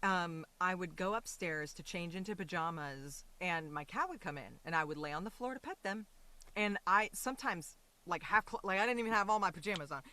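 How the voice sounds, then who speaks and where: A woman chatting casually as an interview guest, expressive and giggly. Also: on the low side, fairly quick. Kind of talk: casual chat.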